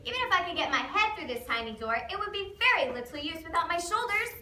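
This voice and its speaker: A woman's voice: very high voice, sing-song, fast talker, pushed and bright, exaggerated voice. A woman playing a bewildered, polite, easily frustrated young girl in an amateur readers theater performance. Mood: bewildered, earnest. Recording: echoey room, distant mic, faint noise.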